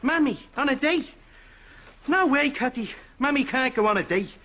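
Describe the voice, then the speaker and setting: A man's voice: extremely high pitch, animated, normal speed, sharp tone, heavily acted. A man playing an indignant, protective grown-up Irish son in a sitcom, protesting whinily. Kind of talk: live sitcom acting.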